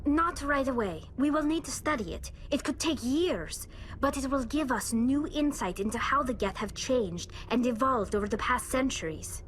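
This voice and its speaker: A woman's voice: high voice, animated, normal speed, slight background noise. A woman voicing an earnest, loyal young alien woman engineer who speaks formally and from the heart. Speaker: crew member.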